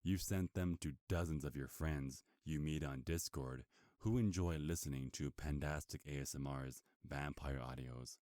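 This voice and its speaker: A man's voice: very deep voice, moderate pace, mellow, dry recording, studio quality. A man performing an ASMR roleplay as a smug, predatory werewolf in human form, taunting and possessive as he gloats seductively to his captive. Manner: taunting, possessive, smooth-talking.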